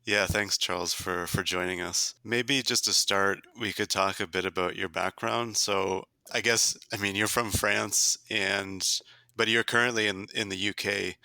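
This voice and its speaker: A man hosting a podcast, opening the show and then asking relaxed, somewhat hesitant interview questions. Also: lowish voice, measured pace, no room sound. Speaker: podcast host.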